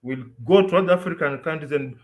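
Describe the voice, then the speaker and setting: A Kenyan man with a fairly high-pitched voice talks in a relaxed podcast chat. He pauses on fillers, then presses his points firmly, now and then dropping into Swahili. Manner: code-switches into Swahili, hesitant with fillers then emphatic. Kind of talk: casual chat.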